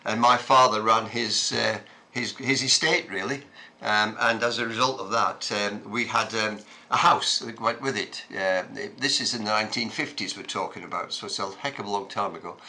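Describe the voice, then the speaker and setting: A man, recalling his childhood in an unhurried, reflective way, pausing often with ums and uhs. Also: on the low side, steady tone, sharp tone. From Scotland. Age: 70s. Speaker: interviewee. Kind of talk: reminiscing conversation.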